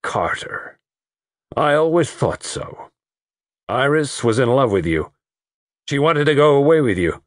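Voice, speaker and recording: A male reader acts out a grim, vengeful man in a storybook. He speaks heavily and thickly with a husky tone, his pitch lurching as agitation takes hold. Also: animated, slow, long pauses, dry recording, clean studio sound. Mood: accusing, bitter. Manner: heavy, thick, muttering or accusing.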